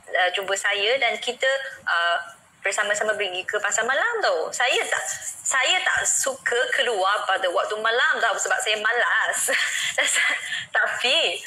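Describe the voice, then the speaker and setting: A woman's voice: medium pitch, animated, unhurried, bright, forceful tone, slight background noise, poor audio. A woman from China, a native Mandarin speaker with a Chinese accent, chatting casually as an interview guest over an internet call.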